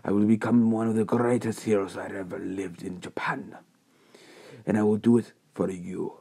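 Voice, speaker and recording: A man voicing a deep, solemn young warrior. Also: steady tone, moderate pace, gravelly, dark tone, slightly rough, dry recording. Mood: solemn resolve. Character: proud, stoic young male warrior, honor-bound.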